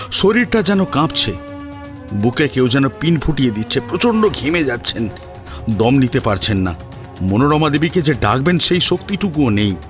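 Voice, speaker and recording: Expressive male storyteller with lively pitch. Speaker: narrator. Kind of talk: dramatic scripted story narration.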